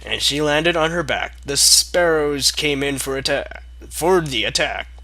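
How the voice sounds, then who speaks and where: A man's voice: a little high, a little slow, bright, forceful tone, no room sound. A man reading a fan story aloud, breaking off into mocking asides and bursts of laughter. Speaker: reader. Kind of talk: comedic fanfic reading.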